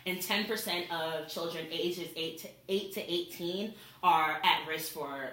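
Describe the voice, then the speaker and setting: A woman's voice: fairly low pitch, a little slow, a bit bright. A young adult woman, a college student, gives an informal persuasive speech to her classmates in a classroom, recorded by a camera across the room. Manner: informal, addresses classmates directly. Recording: echoey classroom.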